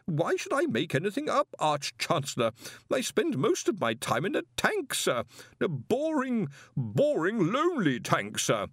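A man's voice: sits high, huge pitch swings, measured pace, a bit bright, slightly rough, dry recording, studio quality, voice pushed up, exaggerated voice. A man voicing a nervous, stammering young male wizard in a storybook reading. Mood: pleading. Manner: stammering, pleading.

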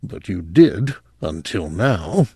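A man's voice: low voice, big pitch swings, slow pace, darkish tone, no room sound, heavily acted. A fussy male technician from an audiobook, acted by a male narrator. He explains in a pedantic, self-satisfied way, heard close in a dry recording. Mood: resigned. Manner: pedantic, explanatory, hesitant throat-clearing. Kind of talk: audiobook character voice.

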